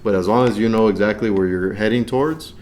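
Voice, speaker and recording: Low-voiced man in casual podcast chat. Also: fast, a little mellow. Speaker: podcast co-host or guest. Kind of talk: casual conversational movie discussion.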